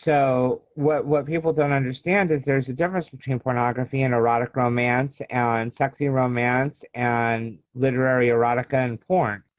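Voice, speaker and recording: A man's voice: medium pitch, level tone, moderate pace, few pauses, no room sound. An American man being interviewed as an author, talking casually and explaining at length like a storyteller. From United States. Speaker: guest author. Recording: thin phone-like call audio.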